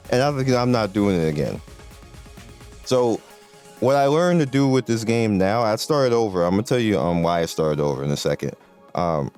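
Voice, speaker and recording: A young man giving casual gameplay commentary, rambling and excitable, often restarting his sentences. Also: fairly low pitch. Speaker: gaming video creator.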